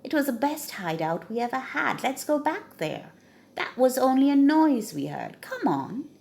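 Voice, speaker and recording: A bold robber from a children's storybook, played by a woman, urging the gang on persuasively. The pitch is raised and lively. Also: a little slow, creaky, exaggerated voice.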